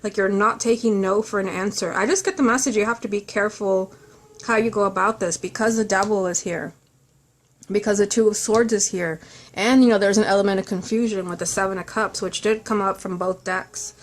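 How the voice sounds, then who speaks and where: A woman's voice: mid-range voice, steady tone, brisk. A woman reading tarot cards in an informal, reflective way, speaking earnestly and directly to the listener. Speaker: tarot reader.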